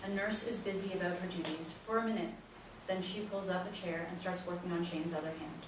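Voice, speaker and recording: A woman's voice: on the low side, steady tone, brisk. A woman reading stage directions as scripted narration for a live play reading. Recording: very echoey room, distant mic, noisy.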